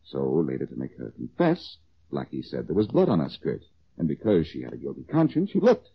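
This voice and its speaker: An actor playing a cocky, quick-witted amateur detective with wry self-assurance in a 1940s radio drama. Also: male voice, rumbling low, wildly swooping pitch, vocal fry, slightly rough, full character voice. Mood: playful, teasing storytelling. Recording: dull old radio recording.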